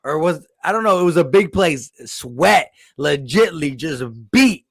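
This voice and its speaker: A man's voice: on the high side, animated, unhurried, creaky, a bit bright, no room sound. Emphatic man, close dry studio sound. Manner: emphatic, repeats "listen". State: nearly losing his voice. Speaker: sports podcast host. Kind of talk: postgame sports talk.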